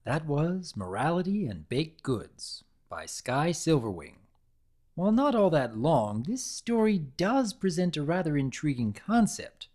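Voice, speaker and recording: A woman's voice: low voice, very animated, a little slow, mellow. A woman narrating a scripted story as a dramatic reading.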